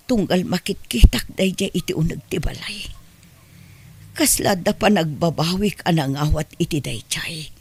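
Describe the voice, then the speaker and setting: A woman with an Ilocano accent playing an anxious, secretive middle-aged rural mother in an audio drama, with a husky voice. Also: lowish voice. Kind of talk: Ilocano radio-style drama acting.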